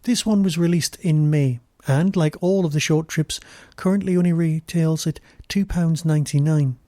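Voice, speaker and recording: A man hosts a review podcast in a mellow, relaxed tone. His voice sits a little higher than most men's, and the sound is dry and close as he delivers a scripted monologue. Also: normal speed, no echo.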